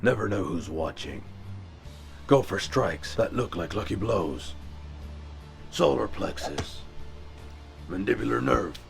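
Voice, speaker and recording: Brooding vigilante for audio drama, male actor: low, gravelly, stern, clipped and guarded. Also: lively intonation, slow, pauses often, faint music behind, full character voice. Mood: tense, calculating. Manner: terse, controlled, stern. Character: brooding, guarded billionaire vigilante, adult man.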